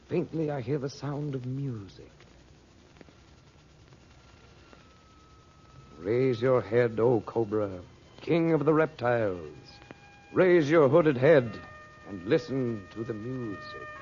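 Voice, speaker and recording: An actor playing a calm, commanding magician uncle in a radio adventure serial, kindly but secretive and deliberate in speech. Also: male voice, medium pitch. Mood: hushed, mesmerizing. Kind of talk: old-time radio adventure serial acting. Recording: dull, noisy vintage radio recording.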